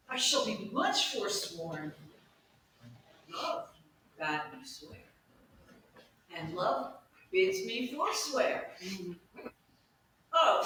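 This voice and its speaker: A woman as a brooding, scheming young gentleman, very echoey. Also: high voice, exaggerated voice. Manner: deliberate, declamatory. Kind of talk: Shakespeare soliloquy, stage acting. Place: indoor staged reading, recorded from audience distance. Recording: echoey hall, distant mic, faint noise.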